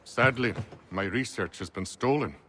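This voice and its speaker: A male actor playing a measured, businesslike gentleman scholar in a video game, speaking with formal courtesy. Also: on the low side, slight background noise. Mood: matter-of-fact. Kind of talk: video game cutscene acting.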